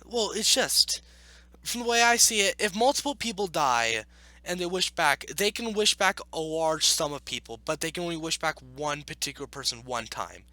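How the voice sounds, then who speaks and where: A man hosting a video, reading aloud and arguing back with blunt, opinionated off-the-cuff commentary in a halting, self-correcting way. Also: sits high, bright, forceful tone, no room sound. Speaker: video host reacting to an article.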